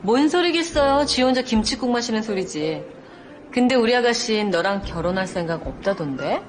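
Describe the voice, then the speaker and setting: An actress playing a blunt, sarcastic wife who mocks the men's drinking. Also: female voice, a little high, sing-song, a little fast, slight background noise. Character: sharp-tongued wife mocking the men.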